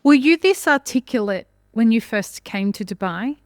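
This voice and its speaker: A woman's voice: fairly high pitch, lively intonation, moderate pace, no room sound, clean studio sound. A woman from Australia with an Australian accent, interviewing guests conversationally as a podcast host.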